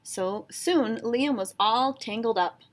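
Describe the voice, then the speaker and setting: Woman doing a kids picture book read aloud, highish voice, expressive storyteller. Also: slow.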